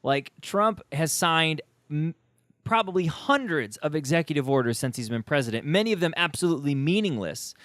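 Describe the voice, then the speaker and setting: Higher-pitched American man hosting, dry sound. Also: expressive, normal speed, fairly bright tone, dry recording. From United States. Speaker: podcast host. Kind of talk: conversational interview.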